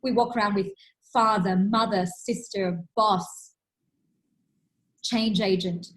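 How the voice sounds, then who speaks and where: A woman's voice: mid-range voice, fairly even intonation, slow, a bit bright, rough recording. A woman giving a keynote talk, telling stories in an earnest, emphatic way. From Australia. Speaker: keynote speaker.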